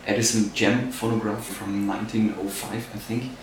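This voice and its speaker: A man's voice: on the low side, steady tone, moderate pace. A man from Austria with an Austrian accent, a native German speaker, explaining a project as a sound artist. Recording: roomy echo, faint noise.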